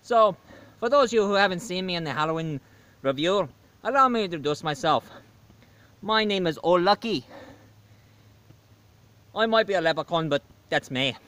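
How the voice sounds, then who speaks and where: A man's voice: high-pitched, lively intonation, normal speed, long pauses, a bit bright, no room sound. A man doing a cheeky Irish leprechaun. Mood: jolly. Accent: put-on Irish. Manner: jokey, rambling with fillers. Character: cheeky male leprechaun reviewer. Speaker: movie reviewer.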